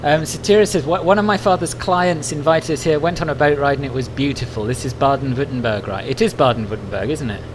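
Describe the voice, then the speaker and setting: A man's voice: a little high, quick pace, few pauses. A man who speaks English natively, chatting casually and politely as host of a flight simulator livestream and reading out viewer comments. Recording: clear steady background hum.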